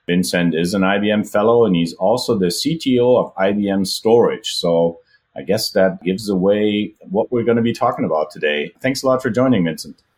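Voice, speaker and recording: A man hosting a tech podcast, asking long, relaxed questions and thinking aloud. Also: on the low side, moderate pace. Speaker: podcast host.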